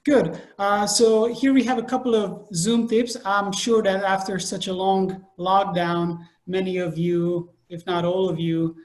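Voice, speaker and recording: A man's voice: high-pitched, fairly even intonation, a little slow, few pauses. A man from Hungary presenting a webinar on a video call, explaining steadily with some fillers. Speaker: e-learning facilitator.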